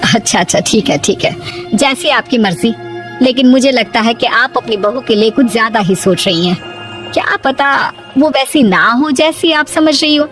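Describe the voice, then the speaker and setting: A woman's voice: on the high side, moderate pace, a bit bright, soft background music, close to an everyday voice. A woman voicing a chatty, inquisitive middle-aged neighbour with a coaxing, questioning manner in a cartoon story. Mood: questioning, reassuring.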